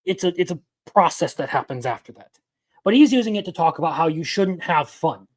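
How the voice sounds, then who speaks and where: A man's voice: fairly high pitch, expressive, a little fast, husky. A man in his twenties giving casual live-stream commentary as an anime news host, opinionated and rambling, answering his chat as he goes. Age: 20s.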